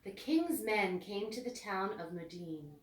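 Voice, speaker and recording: Lady reading a kids story, lively up and down voice, roomy echo, bit far from the mic. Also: medium pitch, measured pace. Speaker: children's story reader. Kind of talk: read-aloud storybook narration. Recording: echoey room, distant mic.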